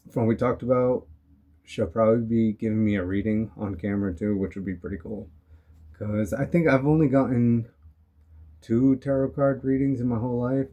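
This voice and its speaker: A young man talking casually in a rambling motivational vlog, his voice still hoarse from being sick. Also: fairly low pitch. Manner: relaxed, rambling, frequent "you know" and "um". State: hoarse from recent illness. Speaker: vlogger.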